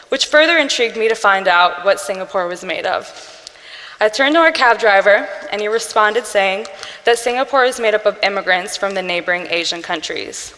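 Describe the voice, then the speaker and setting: A woman's voice: mid-range voice, bright, forceful tone. Young woman telling her story in a reverberant room. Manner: earnest, measured delivery with pauses between phrases. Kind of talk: personal storytelling speech. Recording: long reverb from a hall-like room.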